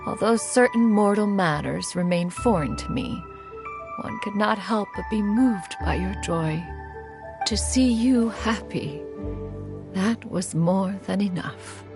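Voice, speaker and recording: A stately female crane spirit speaks in archaic, formal phrasing. Her pitch sits in the middle range. A game score plays clearly underneath her. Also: takes it slowly. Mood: tender, reflective. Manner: archaic, formal speech, refers to herself as one. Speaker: game character. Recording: game cutscene audio over music.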